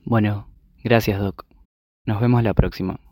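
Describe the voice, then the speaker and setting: A man's voice: fairly low pitch, fairly even intonation, measured pace, darkish tone, no room sound, studio quality. A young man with an Argentine accent playing an anxious, tired university student in a student radio drama. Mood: subdued. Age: young adult. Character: anxious, weary nineteen-year-old male university student.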